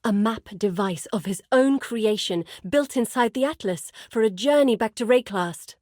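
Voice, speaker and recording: An actress playing an earnest, burdened woman explorer in a fantasy video game, speaking in a measured, articulate way. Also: on the high side, animated, a little mellow, dry recording, studio quality. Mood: urgent, worried. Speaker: video game character voice actor. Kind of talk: dramatic fantasy game dialogue.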